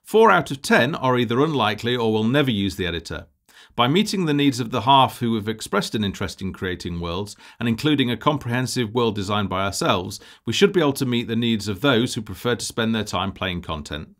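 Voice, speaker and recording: A man narrating a scripted presentation as a project lead, in a measured, formal, businesslike way. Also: mid-range voice, animated, a bit bright.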